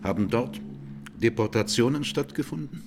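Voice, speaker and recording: An actor playing a composed presiding judge, putting formal, probing questions in a radio courtroom drama. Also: male voice, medium pitch, lively intonation, moderate pace, creaky, over music.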